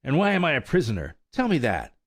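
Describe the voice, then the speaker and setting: A hot-headed young colt in a storybook tale, voiced by a man reading aloud. He talks in high, indignant outbursts that climb into shouts. The voice is recorded close and dry. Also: wildly swooping pitch, fairly quick, no room sound. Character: impetuous young male colt, hot-tempered and defiant.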